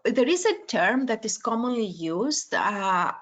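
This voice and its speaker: A woman from Greece with a Greek accent, a neurologist giving a medical lecture webinar over a video call, pausing often on uh and um. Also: a little high, lively intonation, muffled recording. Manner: hesitant, many uh and um fillers.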